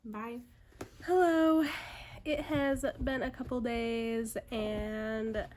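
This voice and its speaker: A woman's voice: a little high, steady tone, drawn-out words, darkish tone, a little hiss or hum. A woman book vlogger talking casually and reading book blurbs aloud, a little congested in parts. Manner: chatty, upbeat, filler-heavy.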